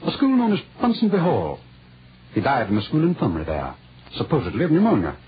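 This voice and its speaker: An actor playing an earnest, agitated British lord with a formal manner, in 1940s radio style. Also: male voice, mid-range voice, wildly swooping pitch, fast talker. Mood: suspicious. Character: earnest, agitated young English lord seeking justice. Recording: muffled, hissy old radio recording.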